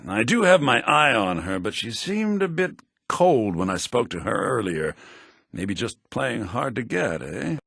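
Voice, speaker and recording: An actor playing a pompous, lecherous and scheming wealthy merchant in a fantasy video game, with a raspy voice. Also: male voice, mid-range voice, big pitch swings, a little slow, a bit bright, dry recording, studio quality, heavily acted. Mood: lecherous, smug. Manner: pompous, smug, theatrical.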